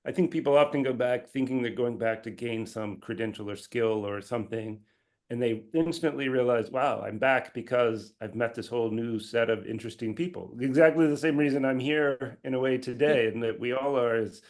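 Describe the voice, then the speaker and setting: A man's voice: mid-range voice, fairly quick. A man answering comments as a presenter on a video call, speaking in an informal, conversational academic style with restarts and quoted asides.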